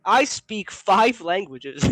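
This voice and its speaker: A man's voice: high voice, sing-song, extremely slow, a bit bright, dry recording. A man, a player in a tabletop game, chatting casually about his character and laughing often. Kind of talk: casual chat between game sessions.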